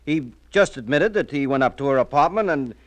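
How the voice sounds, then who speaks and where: A man's voice: on the high side, lively intonation, a little fast, no echo, lightly acted. A man playing a flustered, evasive, scheming conventioneer in a 1940s radio crime drama, stammering and agitated. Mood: protesting.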